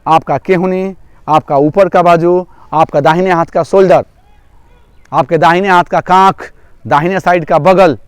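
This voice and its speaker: Casting: male yoga nidra instructor, high smooth voice, repetitive step-by-step guidance, dry close sound. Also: steady tone, a little slow, no echo. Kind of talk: guided relaxation instruction. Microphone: microphone through a loudspeaker.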